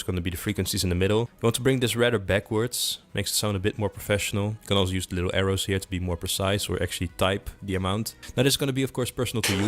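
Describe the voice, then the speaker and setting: A man talks through a settings tutorial at a brisk clip, his voice sitting a little on the low side. He stays relaxed and chatty and speaks directly to the viewer. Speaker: tutorial presenter. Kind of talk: explaining audio settings step by step.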